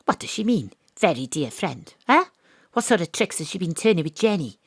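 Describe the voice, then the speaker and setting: Female private eye, Welsh accent, deadpan noir parody, sceptical, very dry close sound. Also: fairly low pitch, brisk, husky, no echo, full character voice. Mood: wry, suspicious. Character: wry female Welsh private detective, ex-rugby player. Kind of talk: noir-parody audio drama narration and dialogue.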